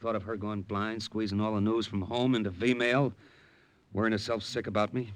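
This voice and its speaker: An actor playing an earnest American army captain and secret agent, steady and plainspoken, in a 1950s radio drama. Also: male voice, lowish voice, normal speed, no echo, lightly acted. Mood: relaxed, confiding. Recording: old radio recording, faint noise.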